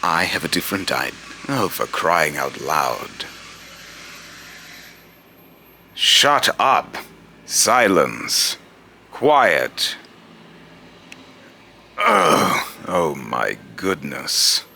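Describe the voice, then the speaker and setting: A man acts out a menacing god of fear from a video game, in an extremely low male voice. He speaks slowly, with a gravelly creak and a pompous, scornful, taunting air. The delivery is theatrical and grandiose. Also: wildly swooping pitch, sharp tone, slight background noise, heavily acted. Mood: exasperated. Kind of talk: rehearsed video game villain lines.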